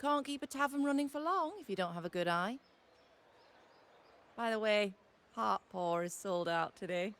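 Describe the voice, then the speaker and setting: A woman's voice: medium pitch, normal speed, pauses often, fairly bright tone, close to an everyday voice. A woman as a blunt, shrewd tavern keeper. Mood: wry, sizing up. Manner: blunt, wry, familiar. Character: brisk, shrewd, no-nonsense female tavern keeper. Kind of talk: video game character acting.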